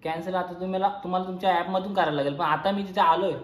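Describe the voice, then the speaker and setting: A man's voice: sits high, fairly even intonation, racing, roomy sound, a little hiss or hum. A man posing as a delivery person on a prank phone call, pushing the order persistently. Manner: pushy, persistent, keeps repeating his point.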